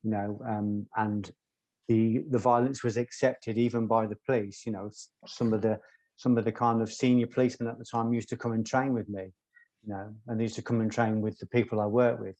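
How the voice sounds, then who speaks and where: A soft-spoken man, a guest telling stories in an interview in a measured, articulate way. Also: on the low side, flat delivery, no echo. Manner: measured, articulate, occasional ums. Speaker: guest, former doorman. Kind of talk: reflective interview storytelling.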